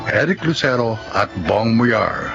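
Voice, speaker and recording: A man with a Filipino accent announcing a radio drama in a formal, scripted, measured way. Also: medium pitch, big pitch swings, gravelly, highs cut off. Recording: loud music under the voice.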